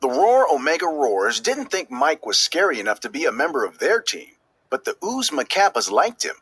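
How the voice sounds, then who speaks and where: A man reading a children's story aloud as scripted narration, with expressive, emphatic delivery that draws out key words. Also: on the high side, normal speed, breathy edge, no echo. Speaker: storybook reader.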